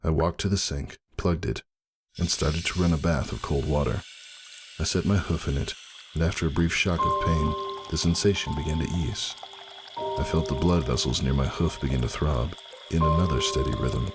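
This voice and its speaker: A man giving a dramatic first-person story reading as narrator, husky and brooding in manner. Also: extremely low pitch, moderate pace. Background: faint music.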